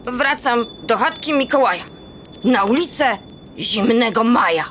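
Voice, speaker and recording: A little boy elf, homesick and eager. He speaks in a bright, childlike way in a children's radio play, with faint noise behind. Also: a little high, animated, measured pace.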